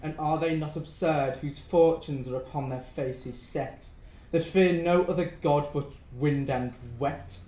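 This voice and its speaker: A high-voiced, mocking avenger played by a young man speaks old dramatic verse slowly, savouring his revenge. The room rings around his theatrical gloating. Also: steady tone, dark tone, natural voice. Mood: manic glee. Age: young adult. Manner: theatrical, gloating verse delivery with gleeful laughter. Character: gleefully vengeful brother, mocking, brandishing a poisoned skull. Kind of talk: Jacobean audio drama acting. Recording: roomy, echoing home recording.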